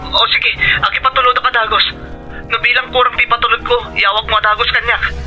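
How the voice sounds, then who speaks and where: A man plays a worried, devoted Ilocano husband in an audio drama. The voice is extremely high and piercingly bright, earnest, and filtered like a phone call with music behind. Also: level tone, a little fast, exaggerated voice. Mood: concerned, obliging. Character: anxious, devoted husband working far away. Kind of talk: radio-style drama acting.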